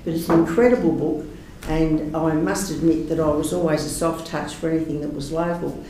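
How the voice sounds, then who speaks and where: A man's voice: on the high side, fairly even intonation, a little fast, mellow, slight background noise. A man giving a rambling, earnest councillor's report at a council meeting. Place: large council meeting room. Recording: echoey meeting room from far off.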